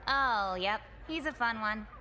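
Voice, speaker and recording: Bright, echoey snarky teen girl. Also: on the high side, expressive, unhurried. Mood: gossipy, snarky. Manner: blunt, casual, gossipy. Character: breezy, snarky, sociable popular teenage girl. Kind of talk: visual novel game voice acting. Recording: roomy reverb over noisy ambience.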